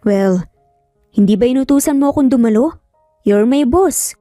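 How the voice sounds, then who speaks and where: A woman puts on a curt, jealous young Filipino engineer for an audio love story. The delivery is terse and teasing in a mellow tone, close and dry over music. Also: a little high, moderate pace, no room sound, lightly acted. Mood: dry, matter-of-fact.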